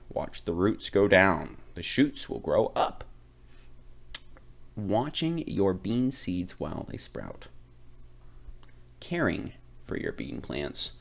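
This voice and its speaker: A man reading a children's picture book aloud, phrasing it deliberately and with expressive emphasis. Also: low-pitched, vocal fry. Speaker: read-aloud reader.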